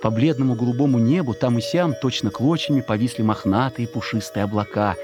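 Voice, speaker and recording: A man's voice: medium pitch, a little fast, over music. A man reading a literary story as a narrator, expressively and with emotion, in a scripted dramatic style.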